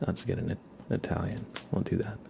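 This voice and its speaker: A man hosting an ASMR livestream, chatting casually in a soft, hushed voice that often slips into a whisper. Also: on the low side, flat delivery, fairly quick, vocal fry, noisy background. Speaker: livestream host. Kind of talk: ASMR, casual chat with viewers.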